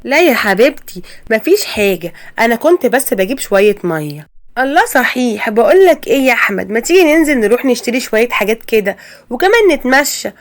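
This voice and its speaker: Woman voicing a scheming mother-in-law, Egyptian accent, sweet-talking, brisk, slightly high. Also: sing-song. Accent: Egyptian Arabic. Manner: sweet-talking, then pleading. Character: scheming middle-aged mother-in-law, ruthless.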